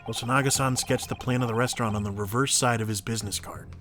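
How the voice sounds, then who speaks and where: A man's voice: mid-range voice, fairly even intonation, fast talker, fairly bright tone, natural voice. Man voicing an earnest, flustered young male student lawyer. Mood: determined. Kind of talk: dramatic video game voice acting.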